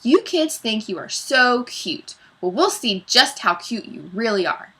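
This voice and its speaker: Lady reading as a mean bossy storybook teacher, short temper, mocking, voice swoops up sharp and a bit raspy. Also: medium pitch, lively intonation, normal speed, full character voice. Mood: menacing, mocking. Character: mean, short-tempered woman schoolteacher, snapping and threatening.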